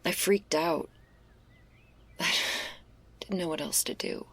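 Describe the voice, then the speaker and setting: A woman playing a laid-back, restless stoner werewolf in an intimate ASMR roleplay, husky and gravelly, confiding in a casual, hesitant, slangy way. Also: sits low, huge pitch swings, slow pace, fairly bright tone, exaggerated voice. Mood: guilty, uneasy.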